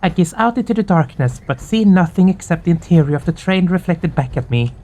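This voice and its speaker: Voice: medium pitch, animated, fairly quick, warm tone, low-quality recording. A game streamer with an informal, candid, somewhat hesitant way of talking, chatting casually and reading visual novel text aloud. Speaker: host of a visual novel playthrough channel.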